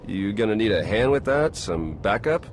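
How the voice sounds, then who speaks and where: A battle-worn Republic spy in a sci-fi game. His voice is low and his delivery sardonic, stubborn and plain-spoken, with faint noise underneath. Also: sing-song, moderate pace. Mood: questioning. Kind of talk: video game dialogue acting.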